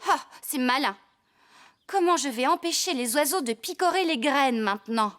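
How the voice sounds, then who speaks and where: A woman voicing a bossy, lively young farmer in a children's cartoon, brisk and expressive, quick to tease and scold. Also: high-pitched, no echo. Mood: exasperated. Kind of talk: French children's cartoon dubbing. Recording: background music under the voice.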